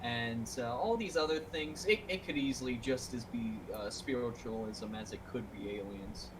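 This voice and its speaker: A man's voice: mid-range voice, normal speed, background noise. A man from the United States talking casually in a group discussion.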